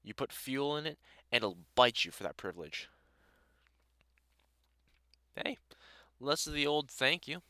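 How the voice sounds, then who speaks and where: A man's voice: medium pitch, expressive, measured pace, long pauses, bright, forceful tone, no echo. A British man playing a dry, sarcastic vampire hunter in a conversational audio roleplay, chatting informally to the listener. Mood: teasing, amused. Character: gruff, sardonic but welcoming male vampire hunter.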